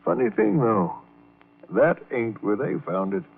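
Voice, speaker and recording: A man's voice: mid-range voice, sing-song, a little slow, creaky, husky, heavily acted. An actor playing a folksy, sly, paternal older man in an old-time radio crime drama, slangy, wry and confiding. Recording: narrow vintage radio recording.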